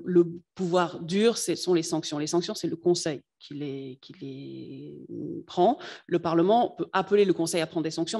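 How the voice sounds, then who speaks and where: A native French speaker giving a lecture to students, often pausing to search for words. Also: medium pitch, darkish tone. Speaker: guest speaker on European affairs.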